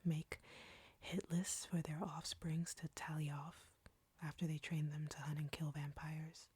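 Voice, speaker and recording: Female vampire captor, acted by a woman, audio roleplay: low, close and dry, whispery, slow, smug and flirtatious. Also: little pitch movement, darkish tone, dry recording, exaggerated voice. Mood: coolly insistent. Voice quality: breathy, whispery. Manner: slow, taunting, condescending.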